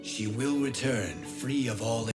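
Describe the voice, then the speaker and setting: An earnest, noble warrior god from a video game, acted by a man. He is calm and courteous, speaking very slowly and formally at a medium pitch. Loud music runs underneath. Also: natural voice. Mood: earnest, respectful. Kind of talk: video game cutscene acting.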